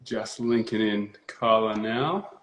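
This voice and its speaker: A man's voice: lowish voice, slow, a bit bright, slight background noise. A man hosting a live-streamed interview, casual and upbeat, laughing often. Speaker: host. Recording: echoey room.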